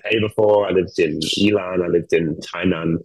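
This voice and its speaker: A man's voice: low-pitched, fairly even intonation, moderate pace, slightly rough, no room sound, poor audio. A man in his thirties with an Australian accent, chatting easily as a guest and laughing often. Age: 30s. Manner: easygoing, laughs often, restarts his sentences. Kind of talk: casual chat.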